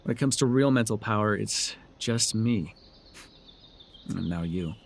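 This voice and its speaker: A man's voice: lowish voice, measured pace, gravelly, a little hiss or hum. A man playing a sardonic, telepathic supervillain in a dramatic audio roleplay, with a theatrical, taunting way of talking. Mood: mocking, smug. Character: sardonic male telepathic supervillain, menacing yet curious. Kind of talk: dramatic ASMR roleplay acting.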